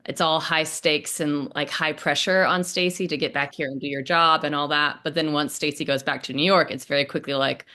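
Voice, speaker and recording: Low, very bright-voiced woman chatting. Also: steady tone, brisk, hardly any pauses. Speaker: actor in a script discussion. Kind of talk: casual group discussion.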